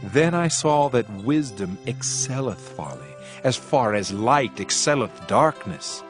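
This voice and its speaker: A man's voice: mid-range voice, big pitch swings, slow. An actor playing a solemn, world-weary ancient preacher-king in a dramatized scripture reading, grave and theatrical, declaiming in archaic phrasing. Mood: reflective, grave. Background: music.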